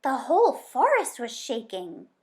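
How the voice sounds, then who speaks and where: A woman's voice: high-pitched, huge pitch swings, a little slow, pitched above the performer's own voice, heavily acted. A woman voicing a brash, greedy male fox in a storybook, with a blunt, wheedling manner. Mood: alarmed, panting.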